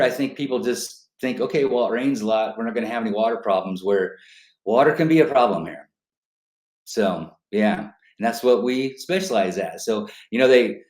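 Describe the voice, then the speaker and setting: Brief: middle-aged man from Colorado, guest expert, lowish voice, rambling and relaxed, roomy echo on a call. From Colorado, USA. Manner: rambling, relaxed, many fillers and restarts. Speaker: well-drilling guest expert. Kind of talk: casual interview talk. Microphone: video call. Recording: echoey room on a video call.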